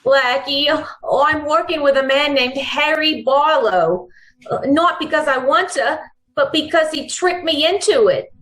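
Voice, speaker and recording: An anxious young woman in trouble from a 1940s radio play, acted by a woman. Her voice is high, earnest and hesitant, with clear echo around it. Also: a little slow, fairly bright tone. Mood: anxious, confiding. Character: anxious, pleading young woman mixed up with crooks. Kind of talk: 1940s radio drama acting. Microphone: video call. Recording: echoey online-call recording.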